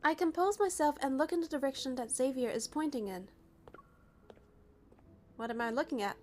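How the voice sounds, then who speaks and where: High-voiced woman narrating a story game. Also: normal speed, a little mellow, a little hiss or hum. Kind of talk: first-person story narration, reading dialogue.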